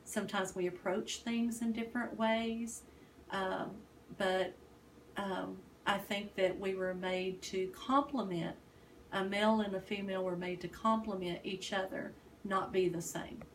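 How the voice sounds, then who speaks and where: A woman from Texas, answering interview questions in a measured, thoughtful way. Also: medium pitch, fairly even intonation. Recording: distant mic, faint noise.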